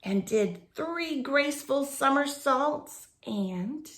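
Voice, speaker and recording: A woman reading a picture book aloud to young children in an expressive, drawn-out way, with chatty asides and questions to the listeners. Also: high-pitched. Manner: animated, drawn-out phrasing, asks the listeners questions.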